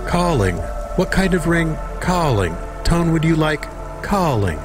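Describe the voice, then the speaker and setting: A man's voice: on the high side, big pitch swings, unhurried, music in the background. A man voicing a naive, childlike AI companion in a video game, earnest, anxious and very literal. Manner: literal, innocent, simply phrased.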